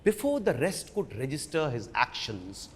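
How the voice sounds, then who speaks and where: A man with an Indian accent gives a dramatic literary reading, theatrical and emphatic, with dramatic pauses. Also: medium pitch, big pitch swings, a little hiss or hum. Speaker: stage actor giving a dramatic book reading. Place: large auditorium at a live reading. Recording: echoey hall with long reverb.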